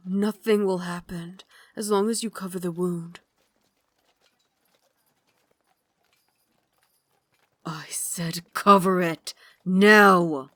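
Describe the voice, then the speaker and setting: A woman playing a refined, tender but tormented Victorian vampire lady in an ASMR roleplay, speaking in a formal, genteel way with breathy asides. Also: fairly low pitch, pushed and bright, dry recording. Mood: tense, stern. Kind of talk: ASMR roleplay, dramatic monologue.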